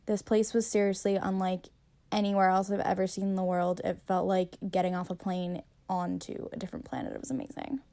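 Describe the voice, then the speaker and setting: A woman's voice: lowish voice, level tone, fairly quick, mellow. A young woman narrating her travel vlog in a wry, self-deprecating voice-over. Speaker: travel vlogger.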